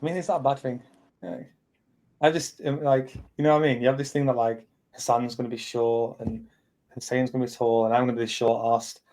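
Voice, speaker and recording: A man's voice: medium pitch, little pitch movement, brisk, a little mellow. Blunt, sweary man on a call. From England. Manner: blunt, sweary, rambling with many fillers. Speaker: CEO hosting a live community chat. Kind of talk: casual chat.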